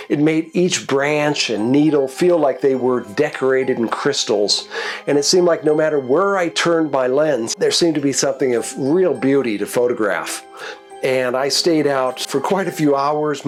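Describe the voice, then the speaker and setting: A congested man with a stuffy, cold-heavy voice tells stories in a calm, reflective way as the host of his own video. His voice sits in an ordinary middle pitch. Soft guitar music plays faintly underneath him. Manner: relaxed, reflective storytelling. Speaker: nature photographer. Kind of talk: casual narrated photo recap.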